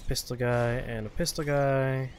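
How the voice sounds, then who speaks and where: A man's voice: mid-range voice, fairly even intonation, measured pace, slight background noise. A man giving casual let's-play commentary as host, in short muttered asides and exclamations. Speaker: host of a gaming show.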